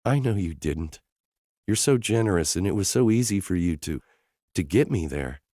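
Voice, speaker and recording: A man voicing an emotional young woman in an audiobook, speaking in a higher, softer voice. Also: lively intonation, moderate pace, no echo, studio quality. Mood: shaky. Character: emotional young woman, tearful and self-doubting. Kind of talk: audiobook character dialogue.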